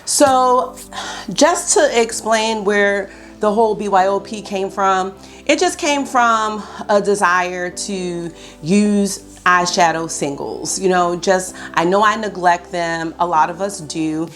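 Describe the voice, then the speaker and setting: Woman in her 30s, upbeat beauty vlogger, slightly scratchy morning voice, mid pitch, faint music behind. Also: takes it slowly, fairly bright tone. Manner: chatty, upbeat, addresses viewers as y'all. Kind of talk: casual beauty vlog talk to camera. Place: bedroom at home, indoors.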